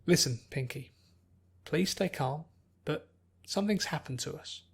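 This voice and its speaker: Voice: mid-range voice, unhurried, gravelly, breathy edge. A narrator voicing an anxious, bookish young woman who speaks carefully and earnestly in an acted audiobook. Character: anxious, bookish pony princess turned human young woman.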